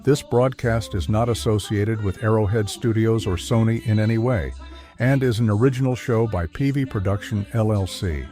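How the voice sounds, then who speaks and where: A man's voice: lowish voice, normal speed, warm tone. A man reading a scripted disclaimer as a podcast announcer. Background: faint music.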